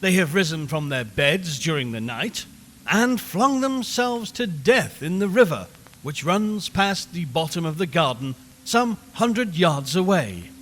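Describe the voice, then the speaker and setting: A man's voice: on the high side, big pitch swings, fairly bright tone, heavily acted. A man playing an earnest, showy radio reporter in an audio drama, narrating formally and theatrically in an old-fashioned broadcast style. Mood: grave, dramatic. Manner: theatrical, formal, deliberate storytelling. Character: earnest, showy male radio reporter hosting a ghost hunt. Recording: long reverberant hall, faint noise.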